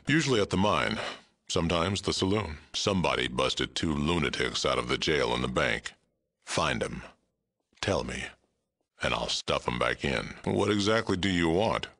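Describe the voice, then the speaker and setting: Very deep, gravelly mutant sheriff. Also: male voice, a bit bright, exaggerated voice. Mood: businesslike, gruff, then gruff, challenging. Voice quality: gravelly, rumbling. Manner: blunt, terse, dry delivery. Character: gruff, aging super mutant sheriff, world-weary but fair-minded. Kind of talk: video game character dialogue.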